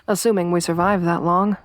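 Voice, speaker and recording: A young woman voicing a tough, tomboyish survivor companion in an ASMR roleplay, speaking softly with a wry, weary warmth. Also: lowish voice, steady tone, moderate pace, natural voice. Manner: soft-spoken, wry, quietly reflective.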